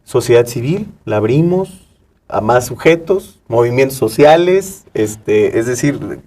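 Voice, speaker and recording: A man's voice: mid-range voice, animated, measured pace, dark tone, recorded from a distance. Male co-host, somewhat off-mic. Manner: fragmented, often seeks agreement with tag questions. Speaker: talk show co-host. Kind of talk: conversational studio interview. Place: internet TV studio.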